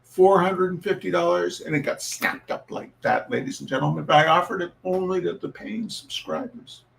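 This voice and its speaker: Male host, high voice with some fry, theatrical and emphatic, for comic readings and informal audience chat. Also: moderate pace. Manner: showman-like, emphatic, repeats phrases. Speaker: host reading humour pieces.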